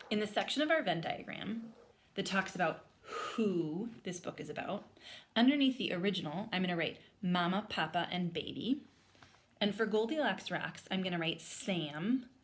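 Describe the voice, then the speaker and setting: Slightly low-voiced woman teaching young children. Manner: explanatory, spelling words out while writing. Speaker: elementary school teacher. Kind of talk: interactive read-aloud lesson for children.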